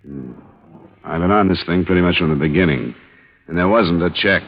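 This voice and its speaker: An actor playing a hard-boiled insurance investigator who also narrates, cool and terse, in 1950s radio drama style. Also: male voice, deep voice, fairly quick, husky. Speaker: detective and narrator. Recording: old lo-fi radio broadcast with music.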